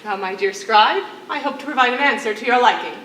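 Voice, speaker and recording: A stage actress playing a playful, witty imprisoned singer with a teasing, dramatic manner. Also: female voice, fairly high pitch, quick pace, fairly bright tone, distant mic, natural voice. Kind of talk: theatrical stage acting. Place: on a stage in a hall. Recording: echoey theatre stage, faint noise.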